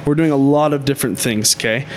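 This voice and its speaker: A man speaking as a guest on a podcast, explaining his crypto consulting business in a confident, pitching way, often saying "I think". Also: a little fast, a little hiss or hum, poor audio. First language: Haitian Creole speaker. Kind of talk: casual podcast interview.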